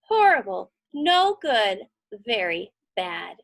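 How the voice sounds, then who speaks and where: A woman reads a picture book to children at an unhurried pace. Her voice swings widely in pitch, and she leans dramatically on key words, dropping in conversational asides along the way. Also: very animated, vocal fry. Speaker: storybook reader. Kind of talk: animated children's read-aloud with conversational asides.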